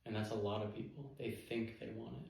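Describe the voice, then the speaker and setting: A man chatting casually with his partner as co-host of a couple's channel, in halting phrases full of fillers. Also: lowish voice, fairly even intonation, creaky, a little mellow. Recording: very echoey room.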